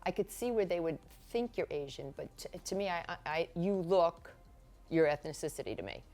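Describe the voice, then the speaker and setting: A woman's voice: fairly low pitch, expressive, moderate pace, a little mellow. A woman hosting a casual interview, chatty and prompting, often finishing her guest's sentences. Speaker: host.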